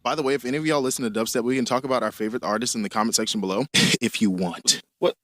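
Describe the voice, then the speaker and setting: A man's voice: medium pitch, fairly even intonation, very fast, loud music behind the voice. A young man narrating his own life story in a casual YouTube storytime style, with joking asides to the viewer. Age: young adult.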